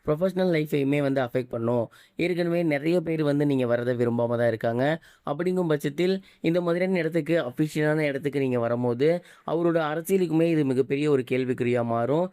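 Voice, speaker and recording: A man delivers online news commentary at a brisk pace. His voice is smooth and a little soft-toned, recorded close and dry. He mixes English words into his scripted delivery. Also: a little high, dry recording. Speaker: online gossip news presenter.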